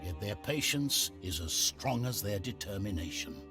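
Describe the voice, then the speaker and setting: An actor playing a detached, cynical male chronicler, narrating a video game story in a measured, knowing, theatrical way. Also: lowish voice, expressive, lightly acted. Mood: wry, portentous. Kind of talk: dramatic scripted game narration. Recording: prominent music behind the voice.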